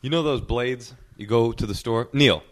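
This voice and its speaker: A man's voice: medium pitch, normal speed, fairly bright tone. A man co-hosting a comedy podcast, riffing loosely through an ad read and tour dates. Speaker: podcast co-host. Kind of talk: casual banter, ad read. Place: podcast studio.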